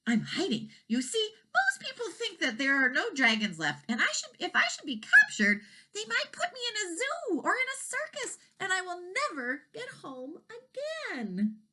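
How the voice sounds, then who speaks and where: A woman reading aloud and voicing a timid little boy baby dragon in a high, small, teary voice. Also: wildly swooping pitch, normal speed, hardly any pauses, fairly bright tone, breathy edge, exaggerated voice. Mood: scared.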